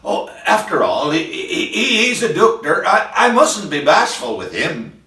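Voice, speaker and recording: A woman's voice: low voice, sing-song, unhurried, fairly bright tone, reverberant, distant mic, voice pushed up, heavily acted. An older-sounding man voicing a bashful, timid wife who stammers when nervous, in a recited comic ballad. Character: bashful middle-aged wife with a sore boil.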